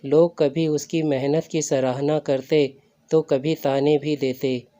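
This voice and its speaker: Slow, flat-toned male storyteller, slightly high voice. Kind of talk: scripted story narration. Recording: dull lo-fi recording.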